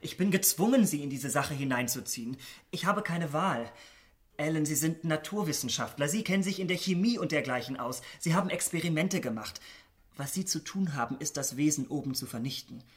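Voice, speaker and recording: An actor playing an elegant young gentleman in a German radio drama, commanding and coldly urgent in a polite, theatrical manner. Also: fairly high pitch, lively intonation, a little fast, natural voice. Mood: coldly insistent.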